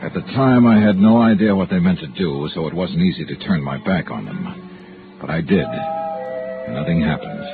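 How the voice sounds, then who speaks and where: An American actor playing a wry, hard-boiled insurance investigator in an old-time radio detective drama, clipped in delivery. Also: male voice, sits low, animated, moderate pace, gravelly, phone-quality sound. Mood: dry narration. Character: wry, tough male insurance investigator, private-eye type. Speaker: lead actor and first-person narrator. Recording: band-limited old radio recording, music cues.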